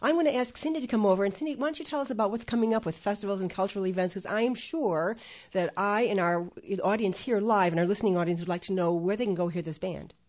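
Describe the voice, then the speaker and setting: A woman's voice: medium pitch, fast talker, few pauses, a little mellow. A woman hosting a live radio interview, enthusiastic and full of praise. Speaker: radio show host.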